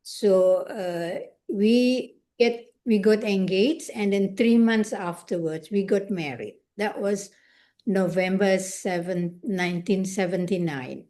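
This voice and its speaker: An older woman from Indonesia, whose first language is Indonesian, speaking haltingly and searching for words in an interview. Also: medium pitch, dark tone. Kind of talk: conversational interview.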